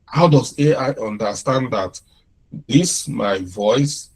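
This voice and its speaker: A man with a Nigerian accent giving an informal classroom lecture, unhurried, often repeating and restarting his phrases. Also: medium pitch, a little mellow, slightly rough.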